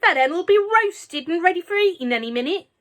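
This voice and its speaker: A woman doing a shrill, cranky old grandmother. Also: animated, normal speed. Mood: shouting. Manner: shrill, scolding, shouting.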